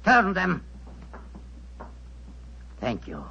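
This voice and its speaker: An actor playing a cold, scheming male villain who makes slow, polite threats in a radio drama. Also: high-pitched, wildly swooping pitch, vocal fry, noisy background, exaggerated voice. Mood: menacing. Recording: dull old radio broadcast.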